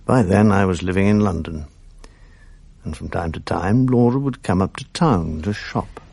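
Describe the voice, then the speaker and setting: A man's voice: deep voice, normal speed, gravelly, darkish tone, slightly rough. A man giving rueful, reflective first-person narration in a 1980s radio drama.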